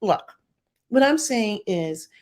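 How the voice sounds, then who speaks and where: A middle-aged woman giving an opinionated commentary as a YouTuber, emphatic and sassy in her delivery, with a voice she calls deep. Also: very animated, slow. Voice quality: deep voice by her own account. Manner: sassy, emphatic, mocks others in put-on voices.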